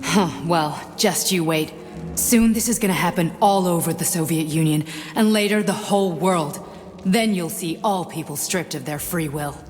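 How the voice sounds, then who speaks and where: Actress as stern woman neurosurgeon, lowish voice, reverberant. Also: moderate pace, darkish tone, faint music behind, lightly acted. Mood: bitter, accusing. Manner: grave, pointed, accusing. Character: stern, bitter woman neurosurgeon, coolly clinical. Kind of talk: video game voice acting.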